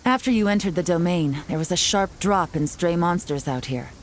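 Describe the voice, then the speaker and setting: Shrewd, composed female tactician for a game, woman actor. Lowish register, measured, cautious, knowing. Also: expressive, slight background noise, close to an everyday voice. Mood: appraising. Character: cool, calculating female strategist, composed and shrewd. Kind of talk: video game voice acting.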